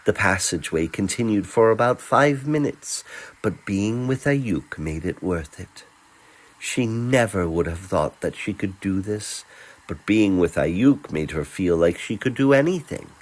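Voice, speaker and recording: Slow, husky male storyteller, highly expressive. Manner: tender, theatrical, with dramatic pauses. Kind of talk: dramatic scripted narration of a fantasy romance.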